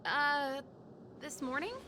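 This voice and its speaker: An actress playing an easygoing, loyal rock musician in a video game, speaking casually and expressively. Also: female voice, high-pitched, slow pace, noisy background. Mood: flustered, evasive. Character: bold, easygoing adult rock-musician woman, loyal old friend.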